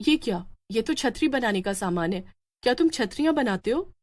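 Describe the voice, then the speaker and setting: A woman's voice: fairly high pitch, animated, moderate pace, natural voice. A woman voicing a bold, protective village mother in a story, speaking earnestly and insistently. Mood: urgent persuading.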